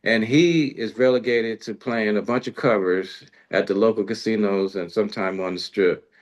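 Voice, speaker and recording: A man's voice: on the low side, steady tone, normal speed, lo-fi sound. A man talking casually and colloquially as a music show host, rambling through his thoughts in slangy speech. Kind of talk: casual interview chat.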